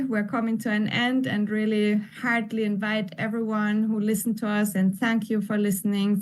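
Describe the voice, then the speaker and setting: A woman presenter giving closing remarks in a hybrid online session, inviting the audience warmly and thanking them at length. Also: mid-range voice. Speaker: conference presenter. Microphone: video call.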